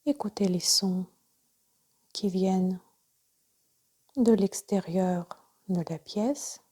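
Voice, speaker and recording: Female yoga nidra guide: soft, breathy near-whisper, dark warm tone, unhurried measured delivery of calm instructions. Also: medium pitch. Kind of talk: guided relaxation meditation.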